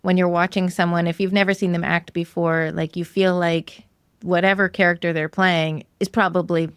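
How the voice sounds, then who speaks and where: A woman's voice: fairly low pitch, level tone, moderate pace, clear, resonant tone, no room sound, studio quality. A woman hosting a podcast interview, chatting casually in an affirming, conversational way. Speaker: podcast host.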